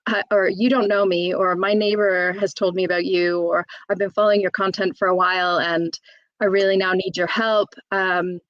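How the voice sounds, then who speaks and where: A woman's voice: medium pitch, level tone, normal speed, dry recording, lo-fi sound. A woman, an employment solicitor in her thirties, talking openly and chattily as an interview guest, with plenty of fillers and restarts. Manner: open, chatty, frequent fillers and self-restarts. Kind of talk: candid conversational interview.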